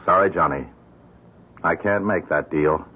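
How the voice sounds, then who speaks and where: An actor playing a solemn supernatural dealmaker who warns mortals in measured, formal speech, in a radio drama. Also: male voice, very deep voice, husky, full character voice. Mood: solemn warning. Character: solemn supernatural male dealmaker bound by rules, warning mortals. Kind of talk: 1970s American radio drama acting. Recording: muffled old radio broadcast.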